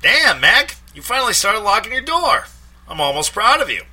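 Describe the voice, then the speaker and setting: A man putting on a brash, crude buddy ribbing his friend in a loud, raised voice. The pitch jumps well above the narrator's own and sounds bright and husky. It is a put-on audiobook character. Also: very animated, moderate pace, exaggerated voice. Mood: teasing. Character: brash teasing male buddy.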